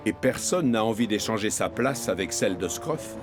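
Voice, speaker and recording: A man narrating a children's cartoon in French, in an expressive storytelling manner. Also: fairly low pitch, moderate pace. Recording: music bed behind the voice.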